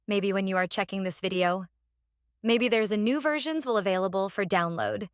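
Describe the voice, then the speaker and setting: A woman who speaks English as a second language hosts a step-by-step software tutorial in a halting, repetitive instructional way. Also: medium pitch, clean tone, dry recording, clean studio sound. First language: non-native English speaker. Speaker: tutorial host.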